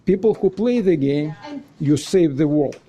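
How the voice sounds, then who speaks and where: A man's voice: fairly high pitch, animated, a little slow, dark tone. A man with a Russian accent, eagerly explaining his project in a casual chat and often checking in with his listener. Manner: eager explainer, keeps checking in with "okay?" and "right?".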